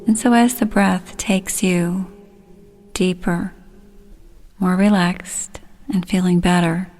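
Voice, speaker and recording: A woman leading a guided relaxation, speaking soothingly and gently in short, unhurried phrases. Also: on the low side, fairly even intonation, soft background music.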